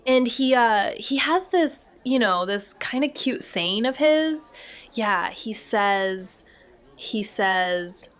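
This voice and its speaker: An actress playing an awkward, evasive young niece in a naturalistic audio drama, halting and flustered as she talks. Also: mid-range voice. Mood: halting, sheepish. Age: young adult.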